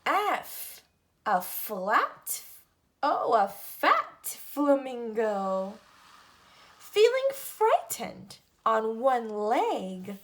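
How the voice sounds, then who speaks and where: Female children's storyteller, higher voice, animated intonation, deliberate phrasing with pauses for an alphabet picture book. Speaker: read-aloud storyteller for children. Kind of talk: picture book read-aloud, teaching the alphabet.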